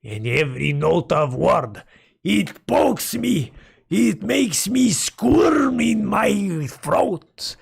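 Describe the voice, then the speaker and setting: A man streaming and chatting casually with his viewers in a rambling, playful, mock-dramatic way, his voice hoarse from a sore throat. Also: high-pitched, very animated, drawn-out words. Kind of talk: casual livestream chat with viewers.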